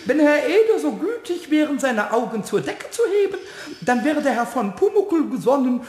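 An actor voicing a mischievous, childlike male kobold with a squeaky voice and mock-genteel, pompous speech in a children's radio play. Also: sing-song, normal speed, a little mellow, reverberant, big-room sound. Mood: gleefully mock-genteel.

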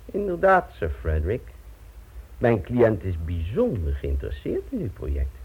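Casting: underworld representative, low husky voice, formal, challenging; narrow, muffled radio play recording. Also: male voice, huge pitch swings, normal speed, full character voice. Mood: insinuating. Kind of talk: Dutch radio drama acting.

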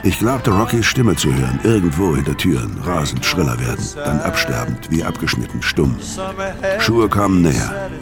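Very deep-voiced, hard-bitten hitman. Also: sing-song, normal speed, exaggerated voice. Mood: grim, pained. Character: weary, hard-bitten male hitman recounting his brutal past. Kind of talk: radio crime drama, first-person narration and dialogue. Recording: music behind the voice.

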